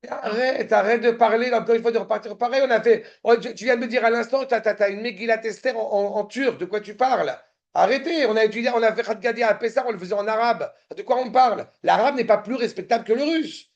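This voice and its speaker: A man teaching a Torah study class, halting and repeating words, often checking that listeners follow, sometimes insistent. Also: very high-pitched, lo-fi sound. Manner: turns insistent and indignant.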